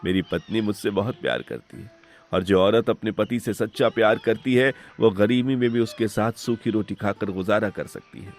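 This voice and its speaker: A man's voice: lowish voice, moderate pace, faint music behind, lightly acted. Man voicing a hurt, principled younger brother. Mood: calm, firm. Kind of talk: melodramatic Hindi moral-story cartoon acting.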